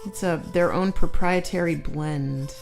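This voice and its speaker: Woman reading chat on a live stream, lowish voice, laughs a lot, music playing softly behind. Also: measured pace, creaky. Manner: giggly, animated, reads comments aloud. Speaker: co-host reading viewer chat.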